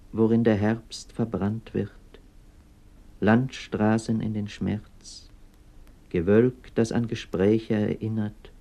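A man's voice: lowish voice, creaky, warm tone. A man giving a scripted recitation of literary poetry. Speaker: reader of poems.

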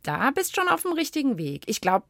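A woman hosting a children's radio show in a playful, casual and animated way. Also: high voice, brisk, creaky, no echo, studio quality. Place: dry radio studio.

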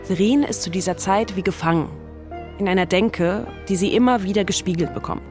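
A woman's voice: mid-range voice, fairly quick, darkish tone, soft background music. A woman hosting a podcast, telling a scripted story in a conversational way. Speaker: podcast host and narrator.

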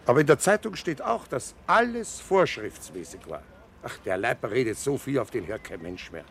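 A humble construction foreman. His voice is creaky and moves widely in pitch. He speaks haltingly and deferentially in a naturalistic drama, with faint noise behind him. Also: very animated, fairly bright tone. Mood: earnest, uneasy. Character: humble, hesitant male construction foreman seeking a job. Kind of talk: naturalistic film drama dialogue. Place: outdoor building site.

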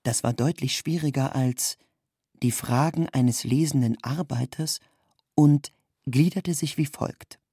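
A narrator reads literary prose with deliberate, emphatic phrasing. The voice is raspy and full of fry. It is recorded very dry, with no room around it. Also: mid-range voice, warm tone, no room sound. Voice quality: creaky, husky.

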